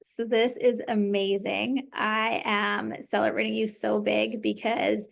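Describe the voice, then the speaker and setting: A woman coaching a client through tapping, speaking in an encouraging tone and calling out phrases for her to say back. Her voice carries a noticeable gravelly fry. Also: mid-range voice, little pitch movement, slow pace. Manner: encouraging, leads phrases for repeating. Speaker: tapping practitioner. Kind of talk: guided tapping session.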